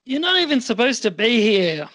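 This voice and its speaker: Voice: mid-range voice, big pitch swings, moderate pace, fairly bright tone, no echo, pitched above the performer's own voice. An amateur performer playing an exasperated young male college roommate in a comedy radio play.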